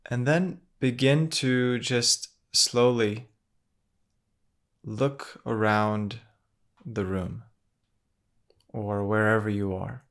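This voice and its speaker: Male meditation guide, lowish pitch, very unhurried delivery, gentle and measured with generous pauses. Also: steady tone. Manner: gentle, measured, with long pauses between phrases.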